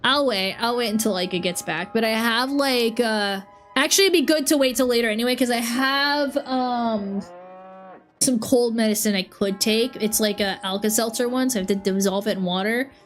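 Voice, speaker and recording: A woman's voice: a little high, normal speed, faint music behind. A sick, sniffly young woman rambling and giggling in casual livestream chat at home. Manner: rambling, giggly, self-interrupting. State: sick with a cold. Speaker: streamer.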